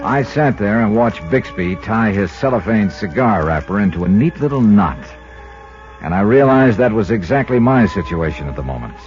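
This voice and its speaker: An actor playing a dry, world-weary insurance investigator in 1950s radio detective style, clipped and deadpan, with an American accent. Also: male voice, on the low side. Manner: clipped, hard-boiled, deadpan. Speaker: lead actor and narrator of a detective series. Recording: muffled 1950s radio recording, music behind.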